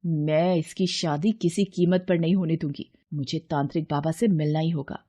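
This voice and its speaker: A woman's voice: sits low, steady tone, moderate pace, warm tone, husky, voice pushed down. Woman as a theatrical, scheming stepmother queen. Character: scheming, spiteful middle-aged queen and jealous stepmother.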